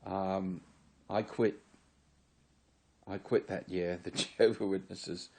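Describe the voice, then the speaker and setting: Low husky man, put-on English accent. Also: steady tone, takes it slowly, dark tone. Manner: rambling, earnest, wry. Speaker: former member giving testimony. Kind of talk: solo confessional monologue to camera.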